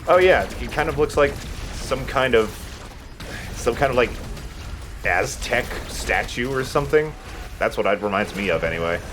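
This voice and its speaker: A man's voice: mid-range voice, lively intonation, moderate pace, fairly bright tone, slight background noise. A man giving casual commentary while he plays a video game, speaking as the host of a let's play. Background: game music.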